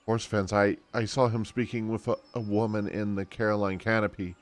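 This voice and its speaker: A man voicing an anxious, lovesick young noblewoman from a fantasy game, speaking haltingly with stammers. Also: fairly low pitch, flat delivery, natural voice. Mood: distraught.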